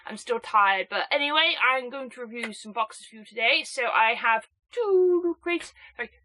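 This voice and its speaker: A woman with a snuffly cold, chatting excitably and rambling through an unboxing review. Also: on the high side, normal speed, a little hiss or hum. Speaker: anime box reviewer.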